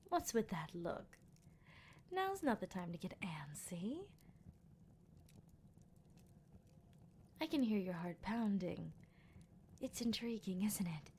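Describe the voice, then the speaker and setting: A woman's voice: mid-range voice, dark tone, slight background noise. A woman voicing a teasing, menacing vampire captor in an intimate, whispery ASMR roleplay, with a leisurely and playfully taunting delivery. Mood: hushed, menacing.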